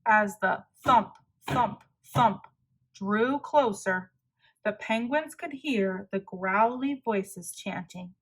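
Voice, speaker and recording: A woman reading a children's story aloud as narrator, expressive and deliberate. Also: medium pitch, rough recording.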